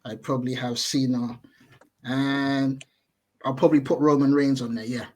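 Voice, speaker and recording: A man's voice: mid-range voice, flat delivery, moderate pace. A man in his thirties, a podcast guest chatting over a video call, thinking aloud and correcting himself as he goes. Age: 30s. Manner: thoughtful, hedging, self-correcting.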